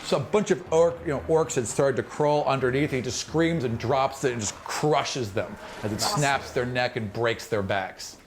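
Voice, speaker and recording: A man improvising colloquial, animated narration as game master of a tabletop fantasy game. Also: mid-range voice, moderate pace, few pauses. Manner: animated, graphic, colloquial storytelling. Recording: noisy room recording. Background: clearly audible background noise.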